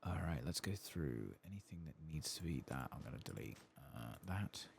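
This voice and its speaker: A soft-spoken man giving casual ASMR game commentary, muttering as he thinks aloud, close to the microphone. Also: rumbling low, expressive, normal speed, gravelly, a little hiss or hum. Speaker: host of a gameplay channel.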